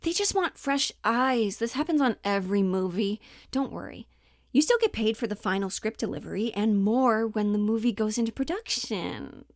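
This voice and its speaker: A woman's voice: mid-range voice, lively intonation, normal speed, no room sound, studio quality, natural voice. A woman playing a pushy, falsely upbeat Hollywood talent agent in a comedic monologue, coaxing, flippant and over-reassuring. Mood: breezy reassurance. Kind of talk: dramatic audition monologue, comedic.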